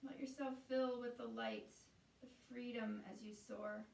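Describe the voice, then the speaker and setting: A woman guiding a meditation as a teacher, speaking in a soothing, unhurried way with pauses between phrases. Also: on the high side, steady tone, vocal fry, a little mellow, a little hiss or hum. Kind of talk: guided meditation. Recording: roomy echo, distant from the mic.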